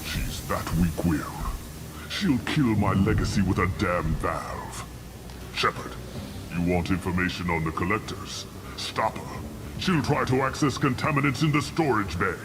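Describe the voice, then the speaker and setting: Fanatical old alien warlord, low-pitched, gravelly, cold, declamatory, video game, background noise. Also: male voice, animated. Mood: urgent, grim. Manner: grandiose, deliberate, declamatory.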